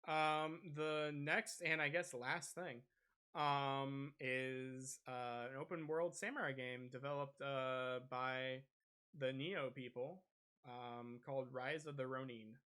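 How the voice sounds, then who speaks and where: Man with a clear, bright voice. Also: mid-range voice, fairly even intonation, slow pace. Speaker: podcast host. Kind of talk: casual gaming news discussion.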